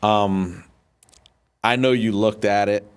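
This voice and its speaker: A man's voice: on the low side, fairly even intonation, slow pace, smooth. A man hosting a casual interview, asking questions in a chatty, conversational way. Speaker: host, military veteran.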